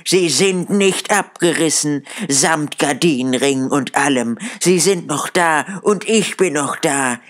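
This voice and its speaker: Man doing a giddy old miser, high excited voice, laughing, slow.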